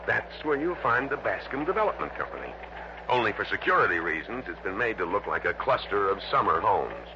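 An actor playing a businesslike American insurance man in a 1960s radio drama, explaining things deliberately and matter-of-factly. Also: lowish voice, big pitch swings, pushed and bright, full character voice. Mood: businesslike briefing. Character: businesslike male insurance office man briefing an investigator. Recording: noisy, dull lo-fi old radio recording.

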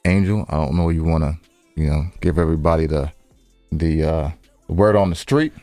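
A man's voice: a bass voice, brisk, warm tone, no echo, soft background music. An American man co-hosting a podcast in casual, playful banter, emphatic and slangy, repeating himself for effect. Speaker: podcast co-host.

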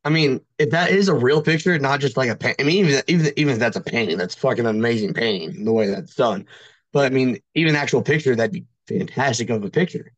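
A man's voice: medium pitch, expressive, quick pace, no echo, dull audio. A man chatting casually as a podcast guest, telling personal stories in a rambling, hesitant way peppered with "like". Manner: rambling, heavy use of "like", hesitant. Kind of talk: casual chat, personal storytelling.

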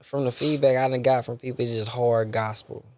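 A man's voice: medium pitch, fairly even intonation, brisk, over a noisy crowd. A young adult man, a gospel rapper talking loosely in a casual interview, rambling and dropping bro and man often.

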